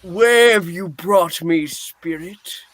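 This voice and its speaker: A man's voice: high voice, takes it slowly, a bit bright, no echo. A man playing a humbled, anxious old miser in a radio play reading, hesitant and pleading. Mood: wondering, uneasy.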